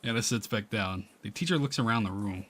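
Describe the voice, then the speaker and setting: Bright-voiced man reading a game aloud. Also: lowish voice, a little fast. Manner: stumbles over lines and rereads them. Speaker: game streamer reading a visual novel aloud. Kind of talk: reading game text aloud with casual asides. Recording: music playing behind the voice. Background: game background music.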